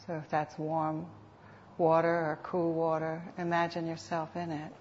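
A woman teaching guided meditation in an unhurried, soothing manner with reflective pauses, on a dull lo-fi recording. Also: sits low, completely flat delivery, a little mellow, a little hiss or hum. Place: meditation center. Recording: dull, lo-fi live talk recording.

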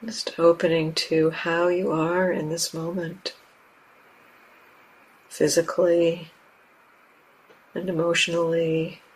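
A woman teaching guided meditation, speaking gently and unhurriedly in short phrases with long pauses. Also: lowish voice, little pitch movement, breathy edge, low-quality recording. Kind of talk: guided meditation, unscripted instruction.

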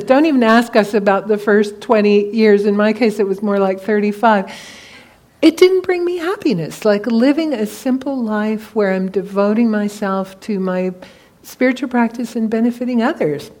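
A woman who teaches Tibetan Buddhism, speaking on a panel. She talks casually and animatedly, with a voice of middling pitch. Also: darkish tone. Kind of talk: panel discussion answer.

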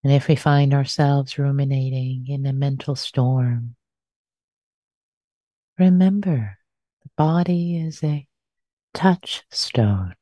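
A woman guides a meditation in a soft, breathy and mellow voice. She takes her time, speaking very slowly and gently repeating short phrases. The sound is dry and intimate. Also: rumbling low, dry recording. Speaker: meditation teacher.

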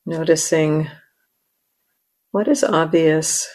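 Female meditation teacher: low register, very even intonation, measured phrasing with generous pauses. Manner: measured, with long pauses between short phrases. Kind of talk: guided meditation.

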